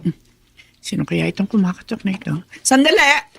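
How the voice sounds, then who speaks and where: An actress playing an earnest, concerned mother in a Filipino radio drama, with a husky voice. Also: medium pitch, sing-song, moderate pace, a bit bright, full character voice. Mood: pleased. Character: concerned, earnest mother pleading for help with her daughter.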